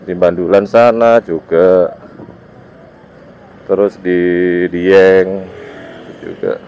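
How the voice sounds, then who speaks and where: Male motovlogger, East Javanese accent, congested from a cold. Very deep, informal riding commentary, muffled outdoor recording with faint road noise. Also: extremely slow, mellow. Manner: informal, speaking in short loose phrases to viewers. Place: outdoors, riding a motorbike on village roads. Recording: dull muffled sound, motorbike ride noise. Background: vehicle and road noise.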